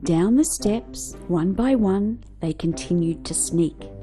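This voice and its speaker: Slow, animated woman storyteller. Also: fairly low pitch. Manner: expressive, rhythmic verse delivery. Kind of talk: children's picture book read-aloud. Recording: music behind the voice.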